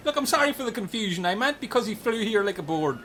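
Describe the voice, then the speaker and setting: Man acting a blustering, sweary castaway, bright forceful voice. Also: high-pitched, big pitch swings, fast, slight background noise. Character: foul-mouthed, bossy castaway man with a bladed glove. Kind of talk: comic radio play acting.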